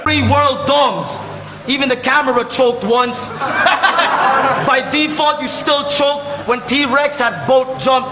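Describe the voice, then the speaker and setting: A man's voice: extremely high pitch, takes it slowly, over a noisy crowd, heavy background noise. A man from the Philippines with a Filipino accent, delivering a mocking, taunting battle rap verse live. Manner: mocking, taunting, laughs at his own jabs. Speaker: battle rapper. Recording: echoey live venue.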